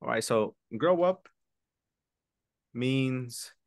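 Slow, patient man teaching English. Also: medium pitch, lively intonation, no echo, clean studio sound. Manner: patient, repeats words slowly for students. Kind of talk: online English lesson, explaining in English and Spanish. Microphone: video call webcam.

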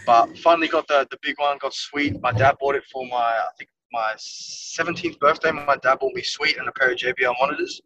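A young man talks loosely and at length, leaning on filler words as he rambles. His voice comes through a dull, low-fidelity video call. He sits back from the microphone, so he sounds a little distant. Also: brisk, few pauses, a crowd in the background. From Wales. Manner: rambling, heavy use of "like" and "you know what I mean". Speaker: music producer guest. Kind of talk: casual interview chat. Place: inside a car. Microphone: phone or laptop camera on a video call.

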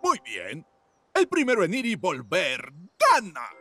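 A man voicing an upbeat, energetic race instructor in a children's cartoon, announcing and encouraging. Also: high voice, a little slow, soft background music, exaggerated voice. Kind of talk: children's cartoon dub acting.

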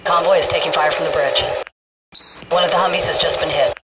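An actor playing a businesslike military gunship fire control officer, giving clipped, commanding radio callouts in a video game. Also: male voice, a little high, fairly even intonation, brisk, close to an everyday voice. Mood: urgent, warning. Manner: terse, commanding. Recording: distant military radio, loud aircraft cabin noise.

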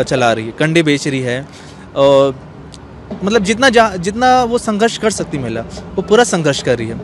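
High, lively-pitched man being interviewed. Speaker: cartoonist, interview guest. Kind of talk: explanatory interview answer. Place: outdoors on a bench in a courtyard. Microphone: interviewer's handheld microphone. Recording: outdoor street noise, traffic behind.

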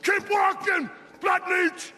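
An actor playing a hostile male wasteland guard who shouts and barks orders in a video game. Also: extremely high pitch, level tone, slow, some chatter behind, exaggerated voice. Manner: shouting, abusive, barking orders.

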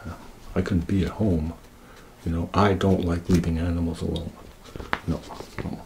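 An older man speaking softly in a halting ASMR ramble, murmuring and half-whispering close to a tabletop microphone. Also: deep voice, flat delivery. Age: older, around 60s. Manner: soft-spoken, halting murmur, often breathy half-whisper. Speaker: ASMR creator showing own sketches. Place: small indoor room, green backdrop. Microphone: tabletop Yeti microphone, close. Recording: noisy close-up recording with clicks.

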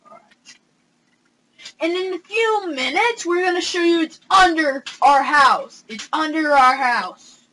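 A young man hosting a console game let's play from his room, excitable and gasping, with hesitant fillers. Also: very high voice, a little slow, low-quality recording. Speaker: gaming channel host. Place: home room with console screen.

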